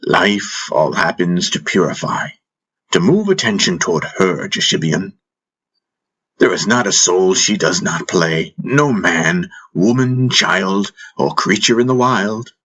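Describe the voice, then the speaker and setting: Man voicing a husky, probing spiritual master. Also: deep voice, lively intonation, slow, fairly bright tone, full character voice, low-quality recording. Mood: teaching, chiding. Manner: instructive, rhetorical questioning with prompting hums. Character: wise male spiritual master, teasing, probing and patient. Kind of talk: audiobook character dialogue.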